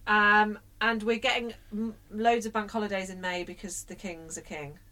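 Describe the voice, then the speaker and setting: Woman, podcast co-host, bright forceful voice, self-mocking, laughs a lot. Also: mid-range voice, fairly even intonation, moderate pace. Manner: chatty, self-mocking, laughs often, swears casually. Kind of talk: casual chat.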